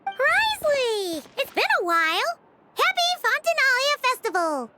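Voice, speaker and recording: A woman voicing a tiny, excitable, childlike companion girl in an anime game, with a squeaky voice, cheerful and bossy. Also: unhurried, heavily acted. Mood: excited, cheerful. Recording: music behind. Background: game music.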